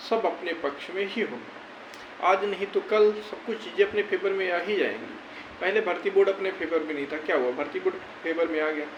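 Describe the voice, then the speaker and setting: High-pitched man, roomy sound. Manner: repetitive, reassuring, advising. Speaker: YouTuber giving recruitment court-case updates. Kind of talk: informal explanatory update to viewers. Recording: roomy, dull audio with faint noise.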